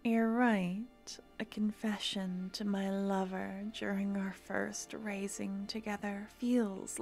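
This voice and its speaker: A woman playing a doting necromancer girlfriend, slow and gravelly. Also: mid-range voice. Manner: soft, intimate, drifting in and out of whispers. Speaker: ASMR roleplay performer. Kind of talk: ASMR fantasy audio roleplay. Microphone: close ASMR microphone.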